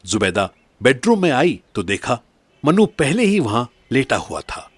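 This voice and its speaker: A man's voice: medium pitch, big pitch swings, unhurried, slightly rough, no room sound. A man narrating a scripted audio drama story as its narrator.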